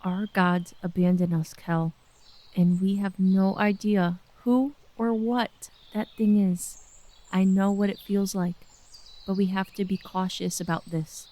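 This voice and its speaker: A woman performs a female villager from a sci-fi audio drama. Her voice is medium in pitch, and she speaks with earnest, wary deliberation. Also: steady tone, unhurried, dark tone, close to an everyday voice. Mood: grave, frustrated.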